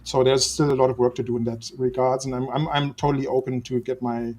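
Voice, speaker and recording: A man who grew up in Spain, introducing himself as a livestream guest on a video call, halting and full of restarts. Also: medium pitch, flat delivery, a little mellow, a little hiss or hum. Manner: hesitant, many fillers and restarts. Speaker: guest, agency owner.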